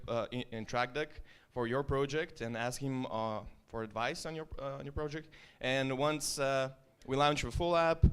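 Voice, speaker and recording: A man's voice: mid-range voice, fairly bright tone, clean tone. A man with an Estonian accent giving a loose, joking startup talk to a live audience, full of ums and uhs. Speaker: startup founder presenting. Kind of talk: conference stage talk.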